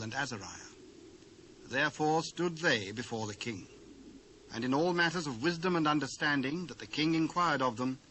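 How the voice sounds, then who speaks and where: A man with a British accent narrates scripture in a formal, measured way. His pitch is medium, and the old cassette recording carries a light layer of noise. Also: pushed and bright. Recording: noisy old cassette transfer.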